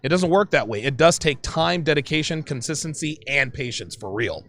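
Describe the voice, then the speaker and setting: Male host, high voice, lively swinging delivery, jokey panel banter. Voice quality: high-pitched voice. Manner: animated, joking, quick to laugh. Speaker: podcast host. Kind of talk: casual panel chat.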